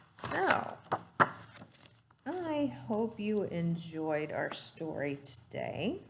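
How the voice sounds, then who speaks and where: A woman's voice: sits low, vocal fry. A woman reading a storybook aloud and teaching children, explaining simply and putting questions to her young listeners.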